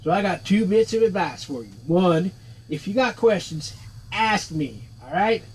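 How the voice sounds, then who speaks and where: A man's voice: high voice, expressive, a little slow, husky, heavily acted. A man voicing a sardonic, wisecracking floating skull in a cheeky, sarcastic manner, reading game dialogue aloud. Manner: cheeky, sarcastic, street-talking.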